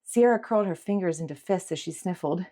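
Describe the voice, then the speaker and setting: A woman's voice: on the low side, a little fast, dark tone. A woman reading scripted dramatic fiction as an audiobook narrator.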